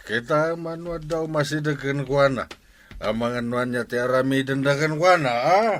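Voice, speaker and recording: An actor playing the captain's easygoing drinking buddy in a radio comedy, laughing and agreeing. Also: male voice, medium pitch, moderate pace, a bit bright, close to an everyday voice. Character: easygoing middle-aged male drinking buddy. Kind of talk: radio comedy drama acting.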